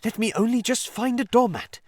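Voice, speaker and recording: A man doing a sharp, sarcastic storybook water rat. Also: very high voice, pitched above the performer's own voice. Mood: mocking sarcasm. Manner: sarcastic, brisk, bossy. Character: quick sharp-tongued male water rat. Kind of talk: storybook reading.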